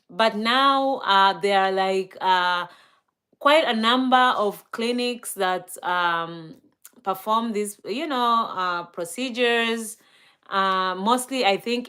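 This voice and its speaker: Chatty Kenyan woman on a slow live stream. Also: medium pitch, clear, resonant tone. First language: Swahili and Luo. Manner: relaxed, chatty, many fillers and laughs. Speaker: livestreamer. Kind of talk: live stream chat reading comments.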